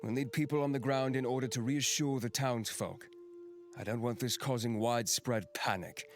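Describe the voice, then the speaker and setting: A man playing an exhausted, stern fantasy general, speaking in a measured, curt, commanding way in an acted audio drama. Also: medium pitch, steady tone, close to an everyday voice. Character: exhausted, stern non-binary fantasy general giving orders.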